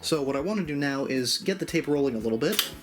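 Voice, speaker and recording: Lively, higher-voiced man giving a tutorial. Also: fast, background noise. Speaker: host of an audio gear channel. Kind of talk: casual tutorial narration.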